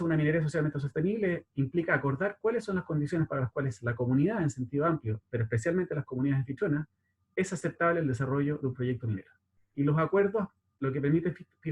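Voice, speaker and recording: A man giving a formal, methodical slide presentation in a slightly husky voice. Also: a little high, a little mellow. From Chile. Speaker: panel speaker, community relations specialist.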